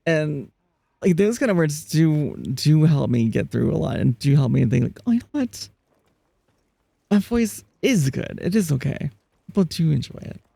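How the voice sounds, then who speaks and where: Gravelly, mellow live streamer. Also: medium pitch, very animated, moderate pace, dry recording. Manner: chatty, laughs often, reads chat aloud; frequent restarts and fillers. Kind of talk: casual live-stream chat while gaming.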